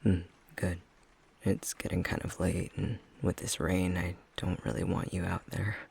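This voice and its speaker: A man playing an affectionate, self-deprecating young fox-shifter boyfriend in an intimate ASMR roleplay, speaking softly and hesitantly, often half-whispered. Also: low voice, flat delivery, unhurried, vocal fry, breathy edge, exaggerated voice. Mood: cozy, caring.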